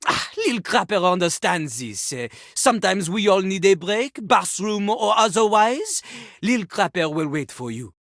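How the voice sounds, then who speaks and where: A woman voicing a cocky, theatrical male French rapping toilet in a video game, husky and pompous, with a French accent. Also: medium pitch, very animated, unhurried, no echo, studio quality. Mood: boastful, haughty. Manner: pompous, talks of himself in third person. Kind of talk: video game character acting with rapped verses.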